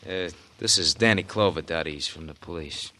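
A man's voice: deep voice, a little fast, bright, forceful tone. An actor playing a cocky young married man under suspicion in an old-time radio detective drama, with a smug, needling manner. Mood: smug, amused.

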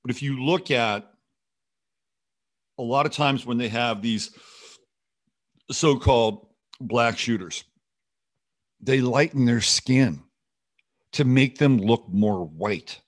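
A man's voice: mid-range voice, takes it slowly, pauses often. Sardonic, rambling male talk host. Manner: rambling, sardonic, skeptical, profane. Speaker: host of a commentary show. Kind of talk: unscripted political commentary monologue.

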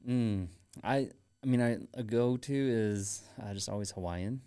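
A man co-hosting a podcast in casual banter, with a teasing, informal manner and halting phrasing. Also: fairly low pitch, dark tone, smooth. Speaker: podcast co-host.

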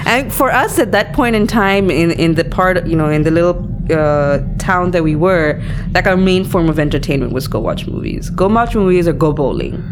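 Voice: medium pitch, moderate pace. Hesitant chatty speaker from Malaysia, noisy recording. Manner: hesitant, lots of fillers and restarts, easy laughter. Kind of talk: casual conversation. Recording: noisy recording with steady hiss.

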